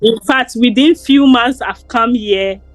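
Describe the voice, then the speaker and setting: A woman's voice: a little high, measured pace, dry recording, faint music behind. A young Ghanaian woman with a Ghanaian accent, talking earnestly as an interview guest, often repeating words. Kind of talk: casual interview.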